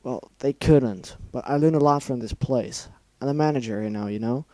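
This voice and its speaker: A man's voice: medium pitch, moderate pace, dark tone, no echo, lightly acted. A man voicing a cruel, bitter female pegasus villain who screams accusations in a fan story reading. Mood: furious.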